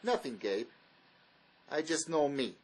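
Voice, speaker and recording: A man's voice: on the high side, very animated, measured pace. A man acting a bitter, blunt fugitive drifter in a dramatic script reading, sarcastic and cutting.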